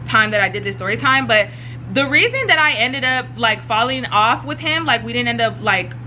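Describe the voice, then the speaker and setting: Chatty young woman, bright voice. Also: a little high, animated, normal speed, faint music behind, low-quality recording. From Atlanta. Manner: chatty, rambling, lots of fillers. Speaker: YouTuber. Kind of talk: casual storytime.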